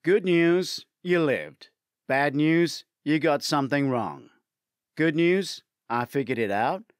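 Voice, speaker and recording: A man voicing a brisk, matter-of-fact male investigator with a wry streak in an audiobook. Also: a little high, smooth, no echo, clean studio sound. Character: brisk, teasing male investigator colleague delivering bad news.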